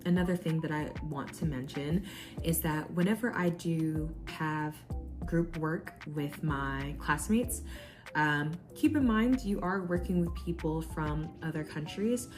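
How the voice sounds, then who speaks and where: A woman's voice: low voice, a little slow, music in the background. A young woman from the United States talking earnestly and casually in a vlog, giving advice from her own experience. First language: English. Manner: earnest, conversational, with fillers. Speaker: vlogger.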